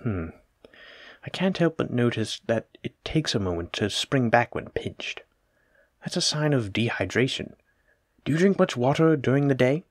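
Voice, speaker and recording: A man's voice: medium pitch, animated, normal speed, no echo, clean studio sound, natural voice. A man playing a courteous, learned plague doctor in a roleplay audio drama, speaking formally and reassuringly as he attends to a patient. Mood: gently attentive. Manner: formal, polite, reassuring, wordy. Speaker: physician examining a patient. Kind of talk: soft-spoken roleplay audio drama, addressing the listener.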